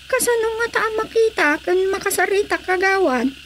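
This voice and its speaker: An anxious young village woman asks for help in a high voice. It is radio drama dialogue with faint noise behind it. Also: lively intonation, fairly quick, a bit bright. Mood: pleading.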